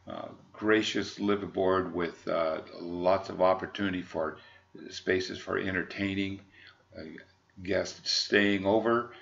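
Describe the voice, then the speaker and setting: An American man, middle-aged or older, giving an unscripted sales walkthrough in a halting, measured, formal manner. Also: low-pitched, gravelly, dull audio. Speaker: yacht broker presenting a boat.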